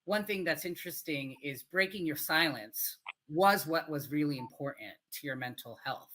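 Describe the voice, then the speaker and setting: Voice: medium pitch, normal speed, sharp tone. An interviewer in a conversational interview, supportive and affirming, often adding short agreements. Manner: supportive, affirming, frequent backchannels.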